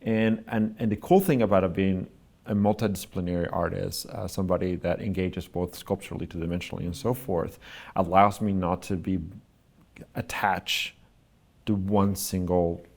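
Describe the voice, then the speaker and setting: A man with a low, gravelly voice answers interview questions about his work. He speaks thoughtfully and often pauses to find the right words, building his points slowly. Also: steady tone, a little mellow. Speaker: artist being interviewed.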